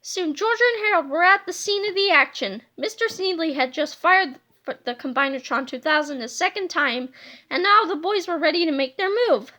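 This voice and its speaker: A woman reading a children's book aloud with expressive storytelling. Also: very high-pitched, normal speed. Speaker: storybook reader.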